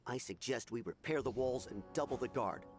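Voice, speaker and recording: Man acting a dutiful mid-pitched army scout. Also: brisk, music in the background, close to an everyday voice. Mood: dutiful, concerned. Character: dutiful, respectful male army scout reporting to superior. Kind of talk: video game voice acting.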